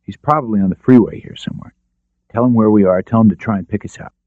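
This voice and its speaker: Man acting a brisk, commanding lawyer-detective. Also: lowish voice, no echo, narrow, tinny audio, natural voice. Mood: decisive. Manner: clipped orders, confident. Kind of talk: audiobook character dialogue.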